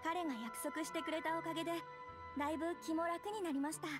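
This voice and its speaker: An actress playing a polite, gentle young noblewoman in a video game, speaking formally and humbly. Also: extremely high pitch, steady tone, fast talker. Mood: troubled, hesitant. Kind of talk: Japanese video game voice acting. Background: background music.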